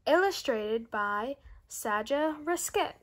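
Slow, high-voiced young girl reading aloud. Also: animated, slight background noise. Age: child. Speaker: reader of a children's picture book. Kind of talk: read-aloud storybook narration with asides. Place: indoor room at home.

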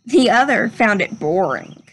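A woman's voice: medium pitch, sing-song, a little slow, a bit bright, breathy edge, low-quality recording. A young adult woman reading and talking to children as a storyteller, in a simple, instructive way. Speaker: read-aloud storyteller for children. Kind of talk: children's picture book read-aloud and chat to young viewers. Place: outdoors under a covered wooden pavilion.

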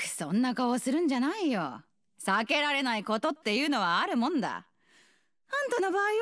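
An actress voicing a brisk, motherly, teasing and quietly ruthless middle-aged woman scientist in a game dub, with playful, theatrical phrasing. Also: fairly high pitch. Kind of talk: Japanese game dub voice lines.

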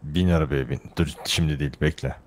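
A man's voice: very deep voice, fairly even intonation, fast talker, a little hiss or hum. A man improvising as a robber in a game roleplay, talking in a bossy, threatening way. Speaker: roleplay player acting as a robber.

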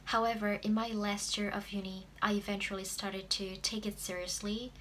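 A woman's voice: mid-range voice, flat delivery, moderate pace, fairly bright tone, a little hiss or hum. A woman in her twenties with an Indonesian accent, telling a personal story to camera in a room indoors. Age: 20s. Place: small plain room indoors.

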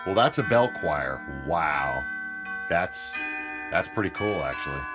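A man's voice: deep voice, expressive, a little slow. A man talking casually and matter-of-factly as he gives an unscripted product demonstration. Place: indoors, off camera beside a tape deck. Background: music.

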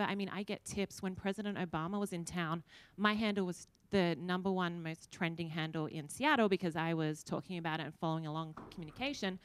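Brief: Australian woman in her thirties, panelist, chatty storyteller, smooth and clear, dry close sound. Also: fairly low pitch, no echo. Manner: chatty and anecdotal. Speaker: panelist, former TV news anchor. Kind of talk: conference panel answer. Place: conference room with audience, indoors. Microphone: handheld mic.

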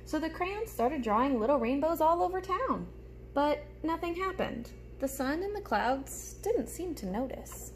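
Young woman reading aloud, fairly high voice. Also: very animated, unhurried, poor audio. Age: young adult. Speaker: teacher reading aloud to pupils. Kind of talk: storybook read-aloud with teacherly asides. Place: small room at home, indoors. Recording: clear background noise, room ambience.

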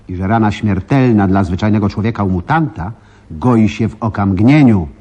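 An actor in a radio play voicing a menacing mutant posing as a police deputy, taunting and deliberate. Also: male voice, fairly low pitch, dark tone, slightly rough, dull audio. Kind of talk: Polish radio play acting.

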